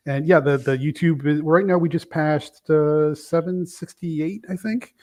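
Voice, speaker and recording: A man's voice: fairly high pitch, measured pace, a little mellow. A man in his fifties from Brooklyn, a guest reviewer chatting casually, rambling and correcting himself as he goes. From Brooklyn, New York. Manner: rambling, self-correcting, enthusiastic. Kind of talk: casual chat.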